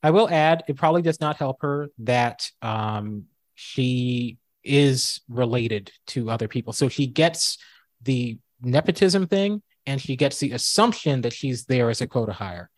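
A man with a fairly high, mid-pitched voice chats casually as a co-host. He thinks aloud, restarting sentences and leaning on fillers. The sound is dry and close. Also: animated, unhurried, no echo. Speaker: co-host and former college professor. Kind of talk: casual podcast debate.

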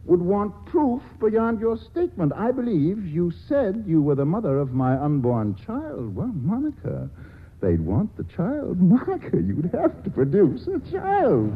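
A man's voice: high voice, huge pitch swings, measured pace, breathy edge, heavily acted. An actor playing a cynical, witty male writer in a 1940s radio drama, talking with wry, sardonic banter. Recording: tinny old radio broadcast.

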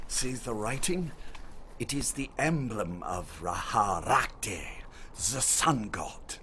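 An actor playing a courteous, learned German archaeologist with a German accent, speaking like a formal storyteller in a radio drama. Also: male voice, lowish voice, lively intonation, slow pace, bright, forceful tone, a little hiss or hum, heavily acted. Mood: reverent, explanatory.

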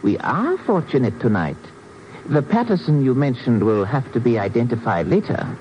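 A man's voice: mid-range voice, very animated, normal speed, muffled recording, natural voice. A man playing a suave, menacing enemy lieutenant, swooping voice. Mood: suavely menacing. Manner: mockingly courteous, smooth, formal. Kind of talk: 1950s radio drama acting. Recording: old noisy band-limited 1950s radio recording.

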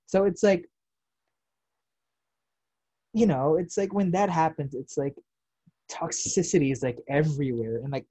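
Laid-back guy, dark husky voice. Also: a little high, a little slow, long pauses, no room sound. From United States. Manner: rambling, frequent fillers and swearing. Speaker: podcast host. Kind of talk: casual chat.

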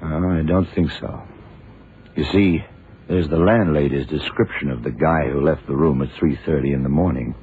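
Shrewd FBI investigator, rock-bottom pitch, hard-boiled, phone-like vintage radio sound. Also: male voice, normal speed. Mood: brooding. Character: shrewd, dogged FBI investigator Lee, a man. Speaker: lead investigator in a crime drama. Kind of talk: 1960s radio drama acting. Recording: muffled, noisy old radio broadcast recording.

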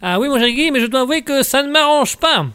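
A man's voice: very high voice, animated, brisk, pushed and bright, dry recording, clean studio sound, voice pushed up, heavily acted. A man voicing a pompous, downtrodden male journalist who speaks in a formal, speechifying way.

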